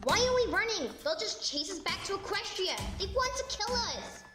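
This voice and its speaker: A woman's voice: extremely high pitch, sing-song, normal speed. A woman voicing a brash, hot-tempered, sarcastic female pony in a fan fiction audio drama. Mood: alarmed. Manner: sarcastic, accusatory. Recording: roomy echo under loud music.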